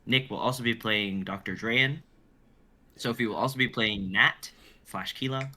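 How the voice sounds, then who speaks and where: Casting: man in his twenties, slightly low, bright voice, casual script narrator. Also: normal speed. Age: 20s. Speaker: writer and narrator of a script reading. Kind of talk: casual chat and stage-direction narration.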